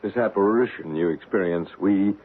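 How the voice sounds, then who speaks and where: A man acts a courteous psychic investigator in a vintage radio play. He is measured, methodical and reassuring, with a lowish voice. The sound is narrow and faintly noisy. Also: lightly acted. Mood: calm, measured. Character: calm, courteous male psychic investigator recounting a case. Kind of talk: 1970s radio drama acting. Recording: tinny, noisy old radio broadcast.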